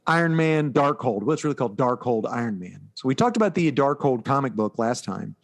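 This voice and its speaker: A man's voice: mid-range voice, sing-song, a little fast, vocal fry. A man co-hosting a podcast, recapping things in a casual, explanatory and informal way. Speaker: podcast co-host. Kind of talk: casual chat, recapping comic books.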